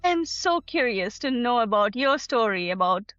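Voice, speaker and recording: A woman's voice: fairly high pitch, sing-song, moderate pace, fairly bright tone, dry recording, muffled recording, soft background music. Earnest woman hosting a podcast. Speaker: podcast host. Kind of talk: podcast interview.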